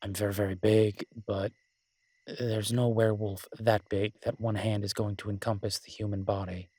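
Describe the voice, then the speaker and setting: Male actor as devoted alpha werewolf lover for romantic audio drama: low, even, intimate, teasing and protective. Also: no echo, lightly acted. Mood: playful, teasing. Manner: tender, teasing, unhurried pauses.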